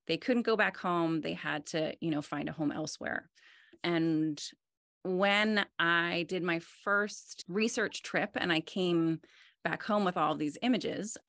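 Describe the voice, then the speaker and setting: Woman, 40s, low and a bit gravelly, dry close video-call sound; relaxed, thoughtful interviewee. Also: no echo. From United States. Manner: relaxed, thoughtful, many fillers and restarts. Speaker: artist. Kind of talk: conversational interview. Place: home room with wood-panelled wall.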